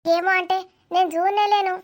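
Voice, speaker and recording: A nosy, affectionate village aunt in a cartoon comedy, put on by a woman, with an extremely high voice. She fusses and chatters question after question in a Telangana accent. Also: flat delivery, fairly quick. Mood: gossipy. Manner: inquisitive, chattering.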